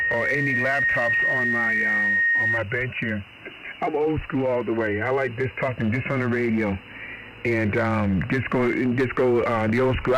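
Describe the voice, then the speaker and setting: A man's voice: mid-range voice, sharp tone. A man from Boston in casual, folksy, rambling conversation, heard over shortwave amateur radio. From Boston, United States. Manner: folksy, rambling, frequent "man" and "you know". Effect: heard over shortwave ham radio. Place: home radio shack. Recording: noisy shortwave radio transmission. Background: radio static.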